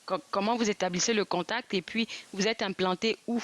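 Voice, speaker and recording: A woman's voice: mid-range voice, fairly even intonation, brisk. A woman hosting a TV talk show, asking direct questions. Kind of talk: TV interview hosting.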